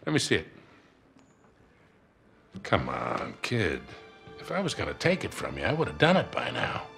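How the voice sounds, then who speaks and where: A middle-aged American fixer in a cinematic video game. His voice is low and swings expressively up and down. He is gruff and folksy, with a wry, unhurried way of needling. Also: huge pitch swings, a bit bright, faint music behind, heavily acted. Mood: curious, bantering. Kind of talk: naturalistic video game acting.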